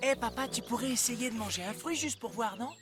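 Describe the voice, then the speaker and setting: Casting: woman as cartoon wolf pup, a well-meaning preachy son, quite high voice, music underneath. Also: a little fast, natural voice. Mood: pleading. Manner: earnest, preachy. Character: earnest young vegetarian wolf cub, son. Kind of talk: French cartoon dub acting.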